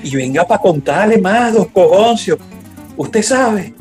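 A man's voice: high-pitched, lively intonation, slow pace, a little mellow, soft background music. A man acting a folksy, loyal working man with a colloquial Venezuelan accent in a radio soap opera. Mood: eager.

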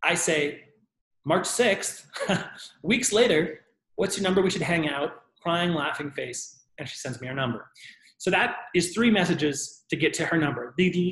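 Guy giving dating advice, bit higher voice, echoey room, reading his texts out. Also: lively intonation, normal speed, a bit bright. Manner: reads out text messages, casual coaching tone. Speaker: dating coach. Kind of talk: talking-head advice video.

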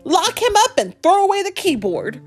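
Woman putting on a female alphabet character, storybook, dramatic, starry-eyed, exclaiming, high, lively. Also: brisk, faint music behind. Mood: outraged.

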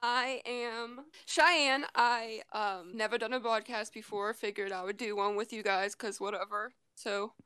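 A woman's voice: on the high side, fairly even intonation, a little slow, few pauses, bright, forceful tone, clean tone, no echo. A woman in her twenties chatting as a podcast guest, rambling and giggling with lots of fillers. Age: 20s. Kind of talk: casual chat.

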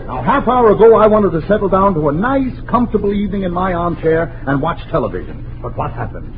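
A man's voice: high-pitched, very animated, fast. An actor playing an exasperated, blustering middle-aged American father in a 1940s radio comedy, sputtering and emphatic. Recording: muffled, noisy 1940s radio recording.

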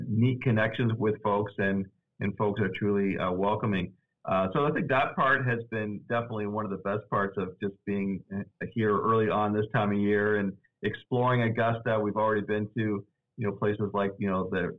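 Canadian man on a tinny line. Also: lowish voice. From Canada, Nova Scotia. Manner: halting, many fillers and restarts, friendly. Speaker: university provost, guest. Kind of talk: casual podcast interview.

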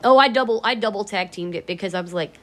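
Chatty woman, a bit raspy from allergies. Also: mid-range voice, fast talker, a bit bright. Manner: chatty, blunt, swears freely. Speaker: vlogger. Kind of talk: casual rambling vlog chat.

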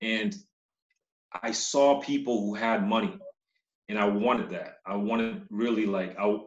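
A man from Uganda who moved to the US as a child, talking casually as an interview guest, halting and repeating words. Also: on the low side, fairly even intonation. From Uganda, moved to Massachusetts as a child. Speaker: interview guest, company CEO. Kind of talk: casual conversational storytelling. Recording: echoey room, dull muffled sound.

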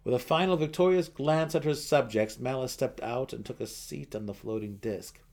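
A man's voice: medium pitch, moderate pace, darkish tone. A man narrating a fantasy audiobook dramatically, with theatrical emphasis.

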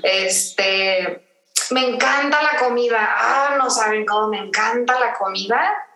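A woman's voice: a little high, slow, bright, forceful tone, echoey room, low-quality recording. A woman from Tijuana, hosting a podcast and chatting casually with lots of fillers. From Tijuana, Mexico. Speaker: podcast host. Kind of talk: casual chat.

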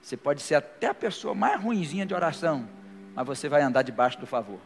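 A man's voice: fairly high pitch, very animated, fast talker, gravelly, a little hiss or hum. A male pastor preaching a sermon to a live congregation and leading a prayer, his delivery rising to fervent declarations. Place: church hall, live before a congregation. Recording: long hall reverb with faint music.

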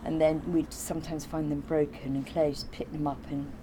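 A woman's voice: sits low, little pitch movement, a little fast, noisy background. A woman in informal conversation, explaining and recollecting in a rambling way. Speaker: artist being interviewed.

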